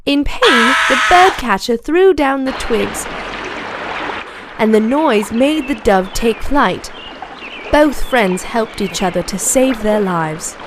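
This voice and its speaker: A woman's voice: fairly high pitch, animated, slow, noisy background. A woman reading a scripted fable for children as a storyteller.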